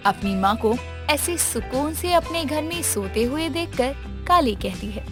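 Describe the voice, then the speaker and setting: High-pitched woman story narrator. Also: animated, a little slow, music in the background. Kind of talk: scripted dramatic story narration.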